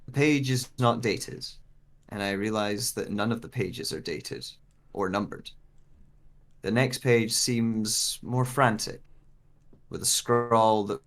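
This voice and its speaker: A man's voice: lowish voice, slow pace, fairly bright tone. An actor playing a bewildered searcher with lost memory, narrating in the first person as he reads a dramatic script. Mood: pensive, searching.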